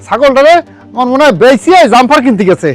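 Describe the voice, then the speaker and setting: A man's voice: very high voice, expressive, fast talker. A man playing an exasperated village parent in a comedy drama, scolding and grumbling about naughty children. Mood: grumbling, cross. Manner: scolding, muttering complaints.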